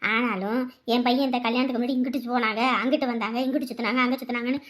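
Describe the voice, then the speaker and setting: A high-pitched, easily scandalised mother-in-law played by a woman in a family drama. She gossips and scolds in rustic turns of phrase with a rural Tamil Nadu accent, piling up indignant questions. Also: fairly even intonation, racing, lightly acted.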